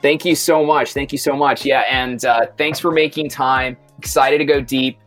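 A man hosts a health podcast, introducing his guest with upbeat enthusiasm. His voice sits at an ordinary pitch, with faint music underneath. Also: fairly even intonation, normal speed, fairly bright tone. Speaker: podcast host. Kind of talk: podcast interview host.

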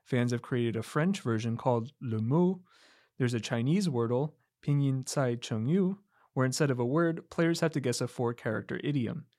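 A man reporting a scripted radio news feature in a measured, even delivery. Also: medium pitch, no room sound, clean studio sound.

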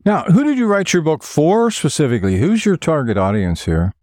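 Male host with lively intonation. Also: a little high, brisk, no echo, clean studio sound. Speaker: show host, interviewer. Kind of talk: scripted radio-style interviewing.

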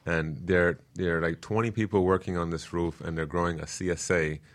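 Deep-voiced man explaining earnestly. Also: fairly even intonation, moderate pace. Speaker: guest expert on permaculture and food. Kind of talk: informal explanatory interview talk.

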